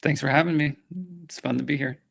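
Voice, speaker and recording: Man guest on a video call, gravelly mid-pitched voice, relaxed. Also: fast. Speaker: interview guest. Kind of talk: casual conversation. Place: home in France, remote call.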